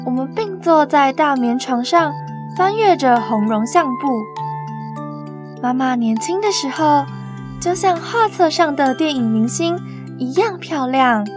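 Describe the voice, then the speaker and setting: A woman's voice: high voice, animated, measured pace, music in the background. A woman with a Taiwanese accent reading a picture book as scripted narration.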